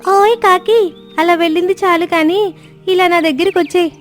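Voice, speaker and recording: A woman's voice: very high-pitched, fairly even intonation, moderate pace. A woman voicing a nosy, scheming parrot in a children's cartoon story, coaxing and questioning with a show of sympathy. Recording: music bed under the voice.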